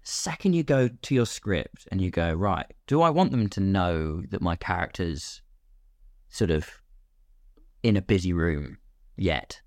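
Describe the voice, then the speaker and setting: A man's voice: low voice, animated, a little slow, a little mellow, no echo, studio quality. A British man talking casually as a podcast guest, explaining his craft and acting out imagined questions as he goes. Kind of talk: casual conversational explanation of filmmaking.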